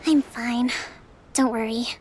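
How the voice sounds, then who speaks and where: A woman playing a gentle, composed young leader in a video game, speaking in a soft, reserved manner. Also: on the high side, steady tone, slow, noisy background. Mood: troubled, subdued.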